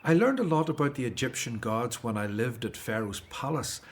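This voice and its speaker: A man's voice: mid-range voice, expressive, moderate pace, close to an everyday voice. A man playing a troubled but faithful Hebrew leader, earnest in manner, in a biblical audio drama. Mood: earnest, reflective.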